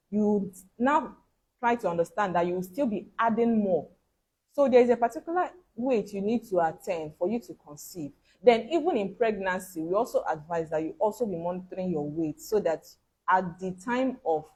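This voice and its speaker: A woman's voice: mid-range voice, a little mellow, breathy edge, low-quality recording. A woman giving an instructional health talk on fertility, repeating key points and checking in with listeners.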